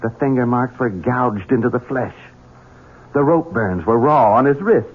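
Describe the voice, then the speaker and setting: An actor playing a young, cruel, scheming heir who speaks in a cold, menacing, insinuating way, in a radio drama. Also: male voice, medium pitch, unhurried, slightly rough. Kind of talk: 1970s radio drama acting. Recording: tinny lo-fi radio broadcast.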